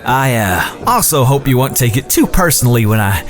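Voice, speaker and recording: A man playing a genteel, smooth-talking western businessman with an unctuous, formal manner in an audio drama. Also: mid-range voice, sing-song, moderate pace, faint music behind, natural voice. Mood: gracious.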